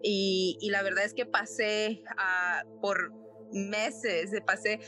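A woman's voice: medium pitch, fairly even intonation, slow, over music. A young woman from Nicaragua, a podcast guest, earnestly telling a personal story with many fillers and tag questions. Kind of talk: personal story in casual chat.

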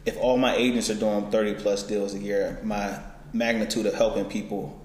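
A man's voice: on the low side, flat delivery, normal speed, a little mellow. A man in his twenties, a real estate broker, chatting casually and laughing often in an interview. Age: 20s. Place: indoor office building. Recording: echoey room with faint noise.

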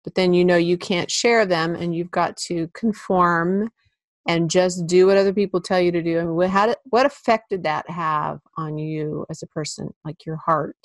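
A soft-voiced woman hosting a podcast, gently asking probing interview questions. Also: lowish voice, steady tone, normal speed, no echo. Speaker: podcast host. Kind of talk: conversational interview.

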